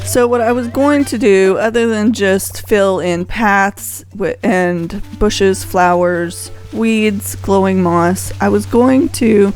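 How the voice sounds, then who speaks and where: Woman, unhurried, mid-pitched, casual gameplay host, rambling and conversational, music playing behind. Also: a little mellow. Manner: rambling, conversational, thinking aloud. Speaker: video host showing a game island. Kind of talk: casual unscripted gameplay commentary.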